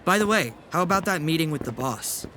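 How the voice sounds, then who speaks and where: A man's voice: on the high side, expressive, a little fast, background noise, natural voice. A man playing a dry, wry young vampire sidekick in an audio drama, with a questioning manner. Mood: casual, doubtful.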